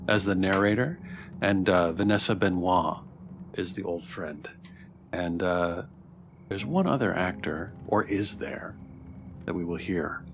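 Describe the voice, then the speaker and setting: Casual man hosting a stream, lowish voice. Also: a little hiss or hum. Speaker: streamer and channel host. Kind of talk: casual livestream commentary.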